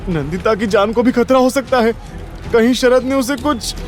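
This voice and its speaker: Man acting a panicky, high-voiced young male student. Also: moderate pace, soft background music. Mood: panicked, worried. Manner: agitated, stammering, non-stop talking. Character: panicky young male college friend, anxious and rambling. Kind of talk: Hindi audio drama acting.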